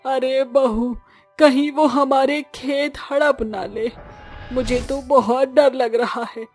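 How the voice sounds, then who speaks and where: Lady doing a worried village saas in a cartoon, high voice, really slow and plaintive, music behind. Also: fairly even intonation, darkish tone, husky, heavily acted. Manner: plaintive, drawn-out lamenting. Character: distraught, fearful village mother-in-law, poor banana farmer.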